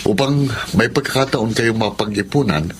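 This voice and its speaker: Male actor as polite confident well-meaning guy, filipino radio drama, lowish voice, noisy background. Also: moderate pace, close to an everyday voice. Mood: encouraging.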